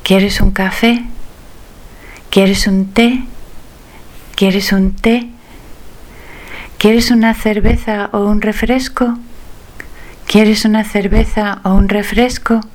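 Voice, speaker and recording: A woman teaching phrases, saying each one twice slowly and carefully as a language drill. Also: mid-range voice, steady tone, low-quality recording. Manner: slow, careful, clearly enunciated repetition.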